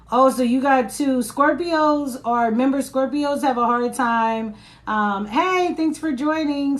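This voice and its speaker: A woman with a raspy voice giving a casual live-stream tarot reading into her phone, chatting and reading comments between cards. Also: fairly high pitch. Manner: chatty, reads viewer comments aloud, laughs often. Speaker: tarot reader.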